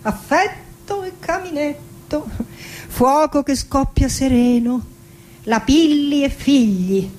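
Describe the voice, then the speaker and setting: A woman's voice: sits high, slow pace, darkish tone, slight background noise, rough recording. An actress playing a proud, passionate, defiant woman poet from Argentina in a theatrical monologue, declaiming dramatically and lingering on her words. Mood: bitter, tender. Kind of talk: theatrical poetic monologue with music. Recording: voice over live musical accompaniment. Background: music with accordion and guitar.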